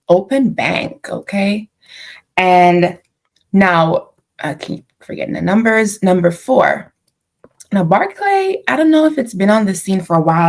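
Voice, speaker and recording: A woman's voice: fairly low pitch, vocal fry. A woman with a Jamaican accent, hosting a casual, chatty finance explainer with frequent fillers and self-corrections. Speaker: personal finance channel host. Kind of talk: casual explainer talking to camera.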